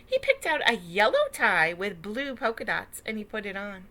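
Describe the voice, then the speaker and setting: A woman reading a picture book aloud to children as a storyteller, with expressive, dramatic emphasis and small asides. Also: medium pitch, moderate pace. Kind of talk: animated read-aloud narration with asides.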